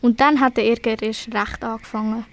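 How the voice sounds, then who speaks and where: A woman's voice: fairly high pitch, fairly even intonation, brisk, no echo. A woman with a Swiss German accent narrating a scripted children's story for an amateur radio play.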